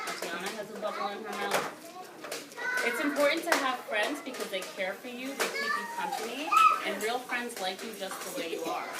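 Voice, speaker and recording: A woman working as a preschool teacher, talking to young children in simple, instructive phrases with repetition. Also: mid-range voice. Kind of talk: reading a picture book aloud and questioning young children. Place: classroom, indoors. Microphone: phone camera at a distance. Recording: roomy, distant phone video, loud background noise.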